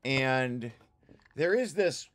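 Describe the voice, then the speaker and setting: Male presenter, mid-pitched, for an informal technical walkthrough; thinks aloud haltingly, repeating words as he explains. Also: animated. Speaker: presenter reviewing own technical paper.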